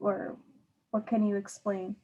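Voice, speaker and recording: Husky lady teacher going slow, patient simple questions for one student. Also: mid-range voice, flat delivery, warm tone, dull audio. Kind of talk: read-aloud and one-on-one comprehension questions.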